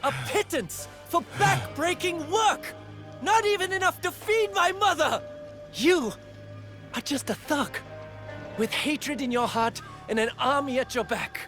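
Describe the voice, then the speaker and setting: Young man, earnest protector, dramatic game acting, higher-pitched, expressive range. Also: unhurried, faint music behind. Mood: defiant. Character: earnest, defiant young male protector of villagers.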